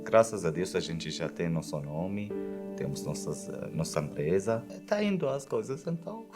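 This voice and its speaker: Syrian-accented man, 39, low register, halting non-native testimonial over a music bed. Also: sing-song. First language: Arabic. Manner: halting, searching for words. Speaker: refugee, small business owner. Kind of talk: testimonial in a documentary. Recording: clear music bed behind.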